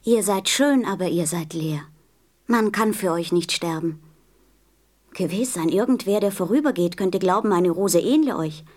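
A woman's voice: fairly low pitch, moderate pace, a little mellow, close to an everyday voice. A woman playing a tender, innocent little boy prince in a radio play, speaking earnestly and carefully. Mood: earnest, firm.

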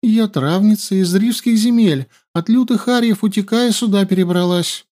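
A man's voice: high-pitched, normal speed, darkish tone, voice pushed up, natural voice. A man voicing a cunning young woman herbalist who plays the helpless captive with a whiny, pleading voice. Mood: wailing.

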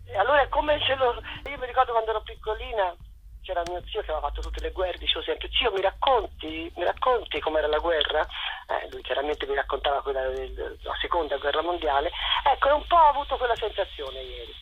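An older woman giving a spontaneous radio interview as a theatre director, effusive and halting, often rephrasing as she goes. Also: fairly low pitch, expressive, dull audio, slight background noise.

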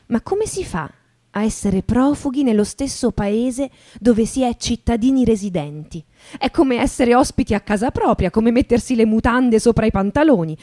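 A woman giving a deliberate, theatrical reading of literary narration. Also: a little high, animated, mellow. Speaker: reader and stage performer. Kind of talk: dramatic literary reading, second-person narration. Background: plucked acoustic guitar music.

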